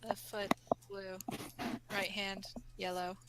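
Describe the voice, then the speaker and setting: A woman voicing a gruff, terse young man and a monotone announcer with flat, curt delivery in an amateur reading. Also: medium pitch, slow pace, close to an everyday voice. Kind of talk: amateur fan fiction group reading. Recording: noisy home mic, clicks and typing.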